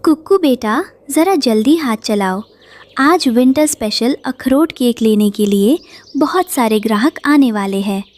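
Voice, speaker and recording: A woman's voice: on the high side, lively intonation, unhurried. A woman voicing a cheerful, motherly bird who runs a bakery. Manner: warm, motherly, welcoming.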